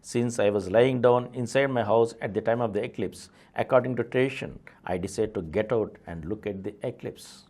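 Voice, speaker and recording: A man's voice: fairly low pitch, normal speed, few pauses, a little mellow. A man with an Indian accent reading a memoir aloud as a presenter of an audio series. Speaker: audiobook reader, channel presenter.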